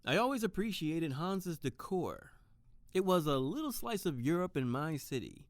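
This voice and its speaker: Detective narrator for audio drama, female actor: lowish pitch, descriptive, measured storytelling of their own case. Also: big pitch swings, dry recording, clean studio sound. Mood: fond, reflective. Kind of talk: scripted first-person detective narration.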